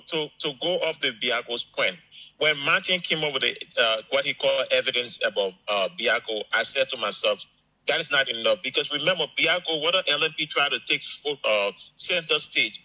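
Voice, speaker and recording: A middle-aged man with a Liberian accent speaks as a guest analyst. His voice is mid-pitched and extremely bright, with a hard, forceful edge. He talks off the cuff and emphatically, repeating phrases to drive them in. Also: poor audio. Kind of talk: unscripted political commentary.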